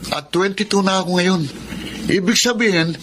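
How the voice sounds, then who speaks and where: High-pitched, resentful young Filipino man. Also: moderate pace, a bit bright, a little hiss or hum. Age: young adult. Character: resentful, sullen 22-year-old Filipino eldest son. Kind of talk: Filipino family melodrama acting.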